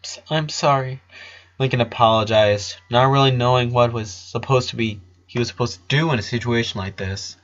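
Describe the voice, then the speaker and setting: A man's voice: mid-range voice, steady tone, a little fast, few pauses, a bit bright, highs cut off. A man reading a scripted story aloud as its narrator.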